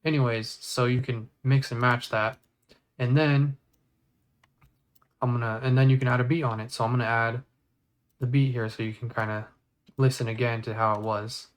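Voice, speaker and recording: Guy doing a laid-back screen tutorial, kinda rambly, pretty flat pitch. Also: normal speed, long pauses. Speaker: video editing tutorial presenter.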